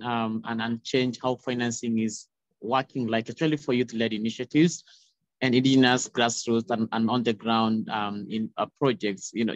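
A man's voice: medium pitch, dry recording. Young man, dull lo-fi call audio. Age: young adult. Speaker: youth panelist. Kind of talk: panel discussion answer. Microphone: phone at arm's length on video call.

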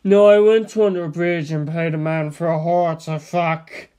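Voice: mid-range voice, steady tone, normal speed, dark tone, clear, resonant tone, close to an everyday voice. A performer playing a crude, shifty male office worker in a film script reading. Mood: matter-of-fact.

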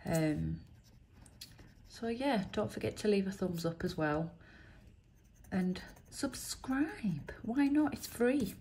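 A woman, croaky from a chest infection, chatting casually while she reads out letters and symbols on scratch cards at a table indoors. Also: mid-range voice, expressive, slow, mellow, slight background noise. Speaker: scratch card vlogger. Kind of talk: casual commentary.

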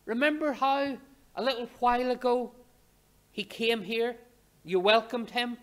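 A man in his sixties preaching a sermon from a church pulpit, speaking in short measured phrases with pauses and rhetorical questions. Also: very high-pitched, fairly even intonation, fairly bright tone. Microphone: lectern microphone.

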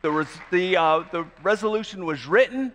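An older man hosting an event, giving a speech from a large auditorium stage, halting with many restarts. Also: high voice, lively intonation, crowd noise, noisy background. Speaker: event host. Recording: long hall reverb.

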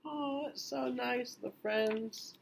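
A man in his thirties reading a children's picture book aloud in an expressive, sing-song way with drawn-out phrases. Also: extremely high pitch, gravelly, a little hiss or hum. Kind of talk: children's storybook read-aloud. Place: small indoor room.

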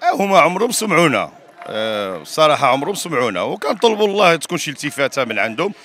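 A Moroccan man with a forceful, slightly high voice airs a complaint in a street interview. There is faint outdoor noise behind him. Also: big pitch swings, racing. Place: outdoors in a busy square. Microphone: handheld reporter microphone. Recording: noisy outdoor street recording.

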